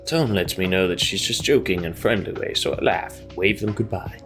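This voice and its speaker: A man with a very low voice hosts a gaming video over faint background music. He reads story narration aloud and drops in casual commentary of his own. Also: brisk, fairly bright tone.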